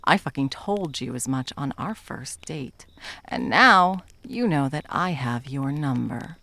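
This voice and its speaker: A woman's voice: extremely low pitch, animated, measured pace, pushed and bright, dry recording, full character voice. A woman playing a bitter, sarcastic monster hunter and betrayed lover in a dramatic ASMR roleplay, mocking and foul-mouthed. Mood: angry, exasperated.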